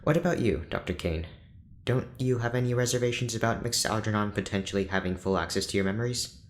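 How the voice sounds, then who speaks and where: Low, reserved male scientist, played by an actor. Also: lightly acted. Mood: firm, guarded. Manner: measured, formal, deliberate. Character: reserved, principled male prison neurologist, weary and guarded. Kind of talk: naturalistic audio drama acting.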